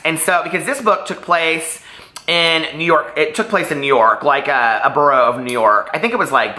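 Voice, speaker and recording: A man with a bright, forward voice speaks casually and directly to his viewers. He gushes and rambles, leaning hard on words for emphasis. The recording is roomy, with audible echo around the voice. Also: on the high side. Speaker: book reviewer vlogger. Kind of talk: casual chatty book review to camera. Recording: roomy, echoey room sound.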